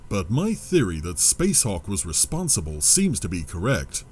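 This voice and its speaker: A man playing an authoritative doctor who leads a team, speaking in a measured, commanding way in an audio drama. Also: low voice, huge pitch swings, a little mellow, a little hiss or hum. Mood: grave, measured.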